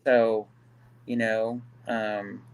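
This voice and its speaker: Halting man from Kansas. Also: fairly low pitch, level tone, gravelly, clear, resonant tone. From Kansas City, Kansas. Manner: halting, leans heavily on "you know". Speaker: guest. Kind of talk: casual live-stream discussion.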